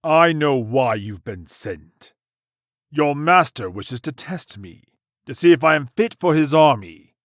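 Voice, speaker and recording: A man voicing a proud, defiant medieval warrior in a comic dub, gravelly and solemnly declamatory. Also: a little high, expressive, a little slow, no echo, studio quality. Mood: stern resolve.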